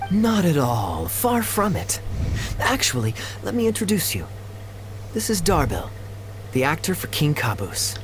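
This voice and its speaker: An actor voicing a calm, courteous, learned man in a video game, speaking in a measured, articulate way. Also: a little high, music in the background. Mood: warm, approving. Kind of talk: storytelling video-game dialogue. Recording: noisy background ambience behind game dialogue.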